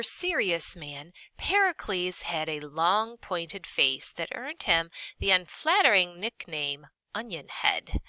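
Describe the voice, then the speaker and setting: A woman reading a textbook aloud as a teacher, in a deliberate, expressive, instructional manner. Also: lowish voice, no room sound, poor audio. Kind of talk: read-aloud lesson narration.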